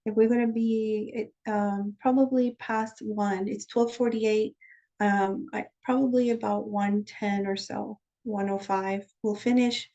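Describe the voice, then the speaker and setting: A woman teaching shamanic practice, leading a guided journey meditation over a video call, calm and slow when guiding and chatty when explaining. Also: medium pitch, highs cut off. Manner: chatty and candid when explaining, with soft laughs.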